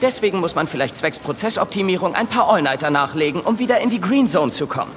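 A man playing an earnest, overworked factory creature, deadpan and dutiful, in a comedy audio drama. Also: high voice, fast, big-room sound. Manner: deadpan, dutiful, startup jargon. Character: earnest, overworked knitting creature spouting corporate jargon. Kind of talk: German comedy science fiction audio drama.

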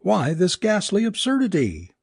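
Man voicing a high, distraught, sarcastic woman. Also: wildly swooping pitch, normal speed, creaky, breathy edge, no room sound, studio quality, exaggerated voice. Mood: anguished, raving. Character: distraught, bitterly sarcastic woman, raving in despair.

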